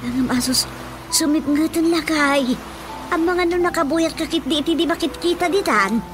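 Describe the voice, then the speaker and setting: A woman plays an elderly wife and mother in a radio comedy. Her voice sits high and she speaks in nagging exclamations, over a clear bed of music. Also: normal speed, close to an everyday voice. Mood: scolding. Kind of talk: comic radio drama acting in Ilocano.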